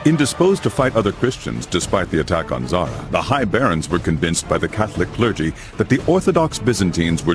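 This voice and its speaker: An actor playing a grave older crusader father who narrates medieval history formally in a video game. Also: deep voice. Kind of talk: scripted dramatic narration.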